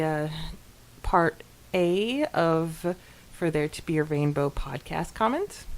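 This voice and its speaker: A woman speaks in a slightly low register, relaxed in wording and giggly in manner. She sounds like a podcast co-host, moving between casual chat and reading listener comments aloud. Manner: giggly, informal.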